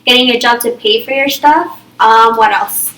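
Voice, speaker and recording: A woman's voice: fairly high pitch, normal speed, noisy background. A young woman teaching a small reading group, thinking aloud and checking in with "okay?" and "right?" all the time. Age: young adult. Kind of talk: classroom think-aloud lesson. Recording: echoey room, far from the mic.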